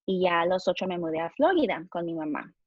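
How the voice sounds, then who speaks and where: Low-voiced woman in her thirties, dry sound. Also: fairly quick, no room sound, lo-fi sound. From United States, New Jersey. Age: 30s. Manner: relaxed, mixes in English words. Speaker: guest. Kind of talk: casual interview chat.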